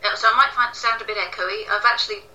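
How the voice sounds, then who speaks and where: A woman's voice: mid-range voice, fairly even intonation, fast, pushed and bright. A woman from Wales giving casual, self-deprecating interview answers as an author from her echoey kitchen. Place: home kitchen, indoors. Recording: echoey kitchen, distant tinny call sound.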